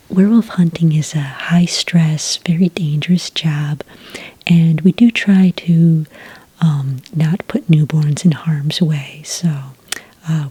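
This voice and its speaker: A woman doing a soft-spoken ASMR roleplay as a friendly registration clerk, whispery and breathy, explaining things gently and without hurry. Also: low voice, no echo. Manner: gentle, unhurried customer-service explaining. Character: friendly female registration clerk for werewolf hunters. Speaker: ASMR roleplayer as a licensing associate.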